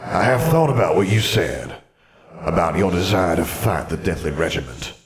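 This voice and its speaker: Man playing a haughty ancient wizard ghost, low-voiced. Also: sing-song, roomy sound. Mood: solemn. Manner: haughty, formal, measured. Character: ancient, stern, proud male wizard ghost.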